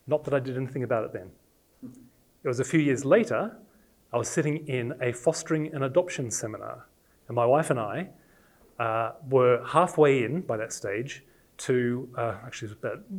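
A man in his forties from Australia, with an accent mixing Australian and English traces, preaching a personal sermon with animated, self-deprecating storytelling. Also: mid-range voice. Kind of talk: personal sermon talk to a congregation.

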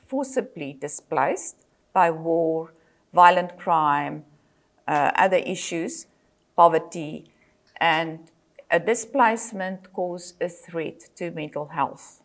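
Older South African woman, Afrikaans native; measured lecturer, fillers and restarts, slightly low voice. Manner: measured, with frequent fillers and self-corrections. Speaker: psychologist and lecturer. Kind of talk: presentation lecture.

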